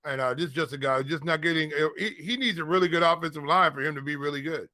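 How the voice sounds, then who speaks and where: A man's voice: a little high, fast, bright, forceful tone, no echo, studio quality. A man co-hosting a casual fantasy football show, talking colloquially and repeating phrases for emphasis. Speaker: fantasy football podcast co-host and analyst. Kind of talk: casual sports talk.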